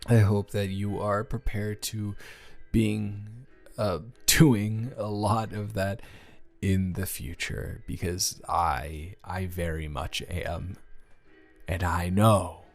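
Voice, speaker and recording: A nervous, earnest teenage boy in love, acted by a man, speaks in a fairly low voice. He stammers and rambles, halting and self-deprecating, as in an intimate romantic audio play. Music plays behind him. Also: steady tone. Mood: tender, relieved.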